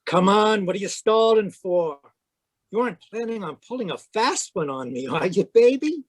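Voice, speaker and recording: A man's voice: high-pitched, expressive, moderate pace, dry recording. A man playing a pushy, slick, scheming villain in an old-time radio drama. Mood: wheedling, impatient. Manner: slick, menacing, calls woman baby. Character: scheming, pushy male villain in frontier melodrama.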